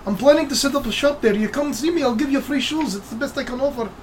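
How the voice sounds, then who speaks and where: A game master voicing a gruff, blunt male dwarf traveler with an attempted Scottish brogue, jovial and bargaining. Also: sits high, fast, noisy background, pitched above the performer's own voice, natural voice. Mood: friendly.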